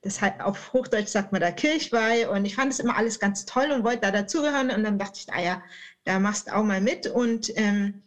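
A woman's voice: mid-range voice, brisk. A woman in her late thirties from southern Germany, chatting playfully and colloquially as an interview guest. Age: late 30s. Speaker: podcast guest, author. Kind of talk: casual interview conversation.

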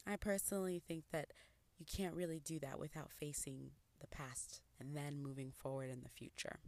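A woman from Congo hosts a personal podcast, talking in an earnest, reflective way. Her voice sits low. The recording is dry and close, with no room sound. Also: fairly even intonation, moderate pace, no room sound. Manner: earnest, reflective, soft-spoken with occasional laughs. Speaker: podcast host. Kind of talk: personal monologue.

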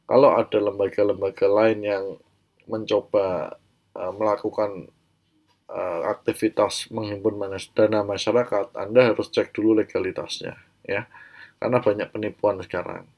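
Male Indonesian educational presenter, lowish voice, mildly stuffed up with a cold, informal explanatory lecture delivery. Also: level tone, moderate pace, a little mellow. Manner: explanatory, checks in with short tags. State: congested with a mild cold. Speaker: presenter of an educational banking channel.

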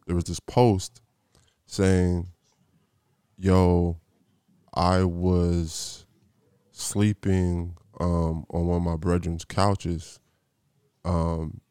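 Man podcast host, rambling slangy storyteller, deep voice, flat delivery, dry close sound. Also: no echo. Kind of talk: casual interview intro.

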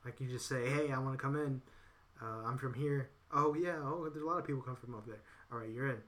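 A man's voice: mid-range voice, quick pace, a bit bright. A man in casual political chat, rambling and repeating himself with lots of "you know". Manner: acts out others in quoted voices.

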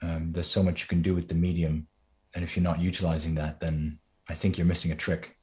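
A man's voice: low voice, level tone, fairly quick, mellow. A man from the Netherlands with a slight Dutch accent, a voice actor answering interview questions in a rambling way, with many fillers and restarts.

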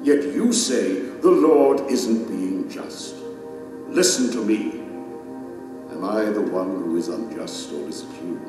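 A majestic king judging souls in an audio drama. He is solemn, stern and deliberate, with dramatic rises and falls in his speech. His words ring out through a vast echo over music. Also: fairly low pitch, expressive. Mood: grave judgment. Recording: cavernous long reverb under dramatic music.

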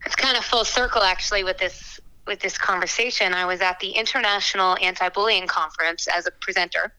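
A young woman singer-songwriter answering interview questions in a chatty, frank way, with quick asides and fillers. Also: medium pitch, steady tone, sharp tone, smooth, poor audio.

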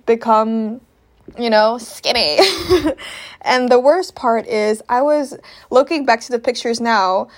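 A young woman from Indonesia talking casually and candidly to listeners as a podcast host, in an informal, confiding way with slang. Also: on the high side. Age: young adult. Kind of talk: casual personal talk to listeners.

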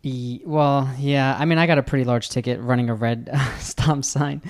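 A man's voice: medium pitch, fairly even intonation, moderate pace. A man hosting a travel podcast, chatting casually with a guest and often restarting his questions mid-sentence. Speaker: podcast host. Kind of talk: casual interview chat.

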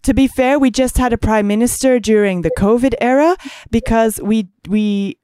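A woman from Greece hosting a casual conversation, picking her words haltingly with frequent pauses. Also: a little high, warm tone, clean tone, no room sound, studio quality. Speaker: podcast host.

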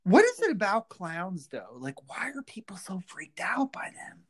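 A woman co-hosting a casual chat, playful and teasing. Her pitch rises and falls a lot as she jokes. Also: wildly swooping pitch, unhurried, husky. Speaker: co-host.

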